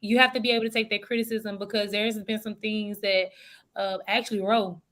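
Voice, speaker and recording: A woman's voice: mid-range voice, flat delivery, brisk, fairly bright tone. A woman in her thirties with a Southern US accent, a guest chatting casually and laughing easily. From Southern United States. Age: 30s. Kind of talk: casual chat.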